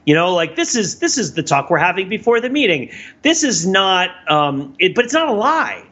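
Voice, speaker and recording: A man's voice: high voice, sing-song, moderate pace, bright, forceful tone, husky, muffled recording. A man co-hosting a podcast in casual, analytical chat, thinking aloud in a discursive way. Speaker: podcast co-host.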